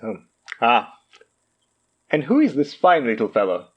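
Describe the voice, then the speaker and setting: Courteous male guru acted by a man, theatrical and slow, animated pitch, horror podcast drama. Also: breathy edge. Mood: curious, intrigued. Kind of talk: horror audio drama acting. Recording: slightly dull sound.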